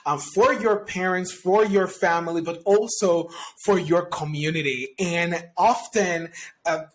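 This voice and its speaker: Upbeat high-voiced man hosting. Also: measured pace, slight background noise. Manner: upbeat, effusive. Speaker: podcast host. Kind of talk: podcast interview.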